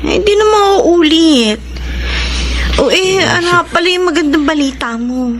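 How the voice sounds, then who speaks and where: A woman's voice: extremely high pitch, animated, unhurried, background noise. A Filipino woman playing a plaintive, somewhat lazy young wife in a radio drama. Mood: defensive, then glad. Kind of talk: Tagalog radio drama acting.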